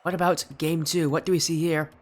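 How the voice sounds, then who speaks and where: A young man doing solo play-by-play commentary for an esports match, sounding a little stuffy and yelling in high bursts at big plays. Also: flat delivery, brisk, soft background music. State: slightly stuffy from allergies. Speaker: esports caster.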